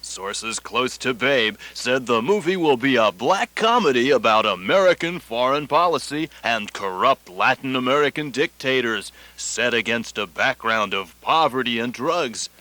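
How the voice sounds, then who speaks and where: A man plays a slick entertainment reporter in a satirical newscast. The voice is mid-pitched and crisply bright, with polished delivery and a dry sound. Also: lively intonation, measured pace, hardly any pauses, no room sound. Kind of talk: parody radio news reading.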